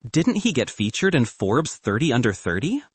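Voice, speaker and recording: A man's voice: medium pitch, sing-song, normal speed, slightly rough, no room sound. A man voicing a blunt, teasing college roommate in a narrated story, sarcastic and exasperated. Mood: mocking.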